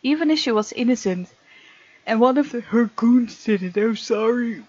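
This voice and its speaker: A woman hosting a let's play, reading game text aloud with playful, expressive asides. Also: on the high side, moderate pace, breathy edge, no room sound, dull audio. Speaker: gaming video host.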